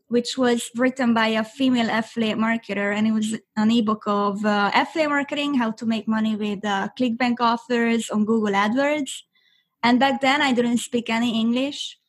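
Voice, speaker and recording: A young woman from Hungary, a native Hungarian speaker, chatting as an interview guest with many fillers and restarts. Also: a little high. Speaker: guest affiliate marketer. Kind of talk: interview answers.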